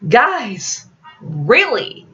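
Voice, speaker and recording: A woman's voice: on the high side, huge pitch swings, extremely slow, pushed and bright, faint music behind, pitched above the performer's own voice, heavily acted. A woman voicing a male rogue in a fiction reading, flippant, exasperated and pleading in manner. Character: smooth-talking, pleading male rogue, notorious crime lord. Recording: dull lo-fi audio.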